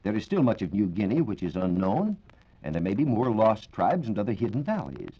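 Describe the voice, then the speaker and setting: An American man explaining science in a measured, precise, formal way as a reporter on a 1940s radio program. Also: fairly low pitch, big pitch swings, husky. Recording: dull 1940s radio recording.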